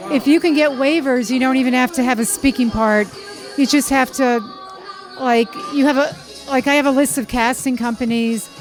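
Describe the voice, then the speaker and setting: Measured woman, loud music behind. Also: high-pitched, fairly even intonation, low-quality recording. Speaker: model and actress. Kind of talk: casual interview answers.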